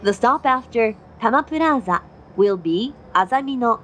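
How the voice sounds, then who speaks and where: A woman reads formal station announcements. She is slow and measured, with a fairly high voice. Faint rumble of a running train sits behind her. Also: animated, fairly bright tone. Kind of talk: scripted railway announcement. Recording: noisy onboard train recording. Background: train running noise.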